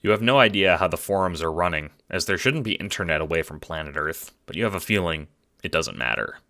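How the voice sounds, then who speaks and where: A man narrates an audio drama like a storybook read to the listener. His voice is fairly low and bright, and it moves expressively. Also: expressive, fairly quick. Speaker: narrator and lead actor. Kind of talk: scripted second-person audio drama narration.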